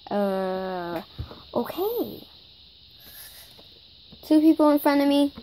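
A woman's voice: high voice, animated, very slow, mellow, a little hiss or hum. A girl improvising a toy shopping scene, chatty and bossy as she runs the story. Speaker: toy video maker. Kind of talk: improvised toy play-acting.

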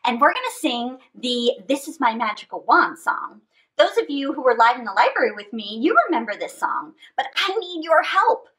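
Very lively, high-pitched woman storyteller. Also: normal speed, rough recording. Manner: animated, theatrical, with dramatic gasps and stretched words. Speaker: children's story time host. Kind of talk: expressive picture-book read-aloud and chat to children. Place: small indoor space with draped fabric backdrop.

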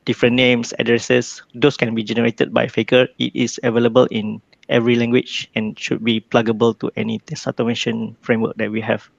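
A man explains informally as a meetup participant. His voice is extremely creaky and flat in pitch, heard through dull call audio. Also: a little fast, hardly any pauses, no echo. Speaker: meetup participant giving a short talk.

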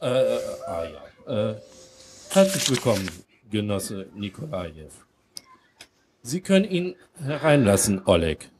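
Man as officious Soviet receptionist, slow delivery, widely swinging pitch, live radio drama. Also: very animated, a little mellow, slight background noise. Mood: formal. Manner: clipped wording, officious. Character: stern, formal male Soviet embassy receptionist. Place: outdoor festival stage under a tent.

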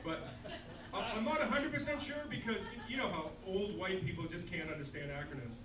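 A man's voice: sits high, animated, a little fast. Crude sixty-year-old man doing stand-up. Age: 60s. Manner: crude, self-deprecating punchlines. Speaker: stand-up comedian. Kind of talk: stand-up comedy set. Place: small club stage. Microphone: handheld mic, filmed from far back. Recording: echoey club, distant camera, noisy.

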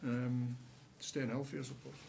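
A man, a rugby coach answering questions at a post-match press conference with relaxed, halting answers full of fillers. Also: medium pitch, gravelly, slight background noise. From England. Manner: relaxed, many fillers and restarts. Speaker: rugby league head coach. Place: press room with sponsor board behind.

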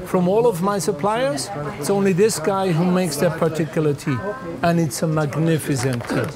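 A man in his fifties with a German accent, talking calmly and reflectively about tea in a documentary. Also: high-pitched, crowd noise. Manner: calm, unhurried, reflective. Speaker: tea master. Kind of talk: documentary narration and on-location talk.

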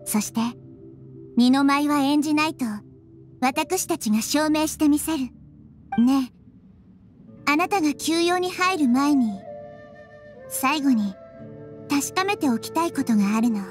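A gentle little girl deity speaks in a high, light voice for a video game. She stays soft and composed, calm and kind in her reasoning. Faint music plays underneath. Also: a little slow, long pauses.

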